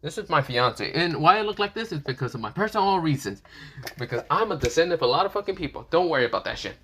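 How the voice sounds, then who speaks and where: A man voicing a cocky young guy, blunt, swearing, swaggering, lively pitch. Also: fast, a little hiss or hum.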